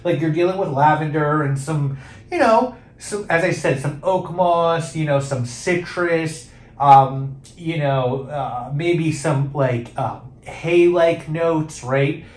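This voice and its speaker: A man's voice: fairly high pitch, slow pace, echoey room. A man casually reviewing fragrances, chatty and hesitant, with many fillers and restarts.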